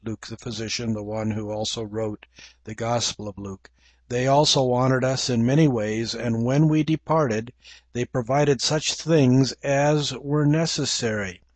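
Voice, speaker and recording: An older man with an English accent gives a slow, reflective Bible teaching, pausing often as he thinks aloud. His voice sits at an ordinary male pitch. The sound is dry and close, with no room around it. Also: fairly even intonation, no echo. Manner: measured, reflective, with frequent pauses and fillers. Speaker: preacher.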